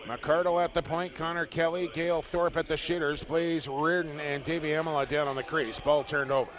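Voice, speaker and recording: A man calling lacrosse play-by-play in rapid, jokey bursts. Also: on the high side, level tone, a crowd in the background. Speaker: play-by-play commentator. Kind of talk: sports commentary.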